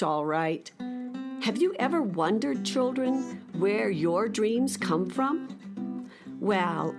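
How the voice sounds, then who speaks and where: A woman narrator tells a children's story at an easy, slow pace. Her intonation is lively and theatrical, with guitar music clearly behind her. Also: mid-range voice. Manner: addresses young listeners, theatrical.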